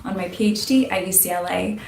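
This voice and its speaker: A woman's voice: fairly low pitch, fairly even intonation, fast talker, vocal fry, darkish tone, a little hiss or hum. A woman in her twenties, a planetary scientist working on her doctorate, speaking enthusiastically and repeating words as she goes. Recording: distant mic in an echoey room.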